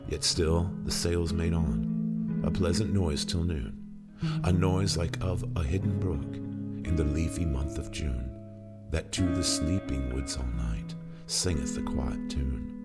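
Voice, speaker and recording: A haunted old mariner, acted by a man, recites his ghostly sea tale in solemn, theatrical verse. His voice is extremely deep and full of gravel, over clearly audible plucked guitar music. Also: takes it slowly, exaggerated voice. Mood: hushed, wondering. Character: haunted old male sailor recounting ghostly ordeal at sea. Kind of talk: dramatic poetry recitation. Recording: music under the voice.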